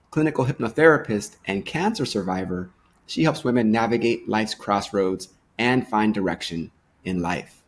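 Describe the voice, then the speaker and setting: A man hosting an interview podcast, reading a polished intro and then asking questions in an easygoing, earnest way. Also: medium pitch. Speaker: podcast host.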